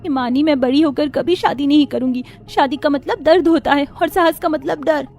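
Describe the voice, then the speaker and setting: A woman's voice: sits high, normal speed, a little mellow. A woman voicing an innocent, earnest little girl in a cartoon family story. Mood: earnest, upset. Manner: childlike, earnest. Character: little girl, innocent and fearful, with her friend. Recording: background music under voice.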